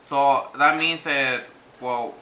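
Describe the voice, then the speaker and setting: A man with a bright, sharp-edged voice talks casually to viewers as he reviews products. His delivery is hesitant and halting, and he moves between two languages. The room is echoey, with a faint layer of noise behind him. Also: medium pitch, steady tone. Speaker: vlogger.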